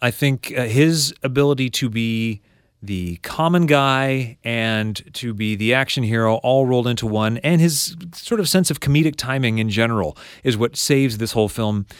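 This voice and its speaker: A man hosting a podcast of film critics, chatting in an energetic way with stumbling restarts, recorded in a studio. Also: mid-range voice, moderate pace, smooth, dry recording. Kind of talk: casual chat.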